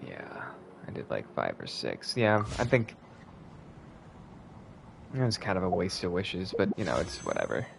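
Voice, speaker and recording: A man with a low voice and a gravelly edge, talking to himself while he plays a game. His pitch swings widely between remarks. Game music plays clearly behind him. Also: wildly swooping pitch, measured pace, pauses often, a little hiss or hum. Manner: mumbling, thinking aloud, swears. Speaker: streamer playing a game. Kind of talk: casual gameplay commentary.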